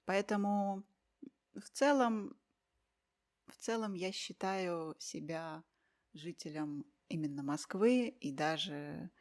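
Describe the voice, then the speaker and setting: Woman, from Russia, interview guest, low-ish voice, very slow pace, thoughtful pauses, clear learner-friendly speech. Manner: unhurried, with many thoughtful pauses and soft fillers.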